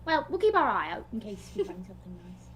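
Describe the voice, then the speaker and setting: A woman's voice: mid-range voice, big pitch swings, fast, fairly bright tone, slight background noise. A young woman chatting casually, half in character, as a player in a tabletop game.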